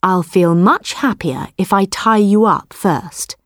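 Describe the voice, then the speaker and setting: A woman voicing a quick-thinking, nervous male farmer, speaking with a lifted, eager delivery. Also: lowish voice, no echo, studio quality. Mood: persuasive.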